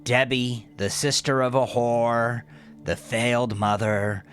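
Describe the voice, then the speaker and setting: A man voicing a gangster henchman who haltingly declaims a venomous, gloating letter in an audio drama. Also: mid-range voice, steady tone, a bit bright, voice pushed up.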